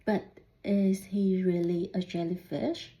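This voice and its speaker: Very slow-reading woman, storybook read-aloud. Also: fairly low pitch, steady tone, mellow, low-quality recording. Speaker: student reading a picture book aloud. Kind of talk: storybook read-aloud with questions to young listeners.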